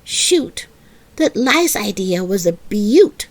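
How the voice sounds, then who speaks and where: A woman's voice: medium pitch, sing-song, exaggerated voice. A woman voicing an eager, bratty little girl in a children's storybook, dramatic and pleading, with childlike emphasis. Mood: grumpy. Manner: childlike, emphatic, drawn-out wishing and protesting. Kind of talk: animated children's storybook reading.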